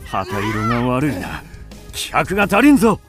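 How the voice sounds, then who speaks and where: An actor playing a mysterious, fearless undead avenger in a radio drama, speaking in a grim, theatrical, archaic manner. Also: male voice, medium pitch, huge pitch swings, normal speed, over music. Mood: fierce, defiant. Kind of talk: Japanese radio drama acting.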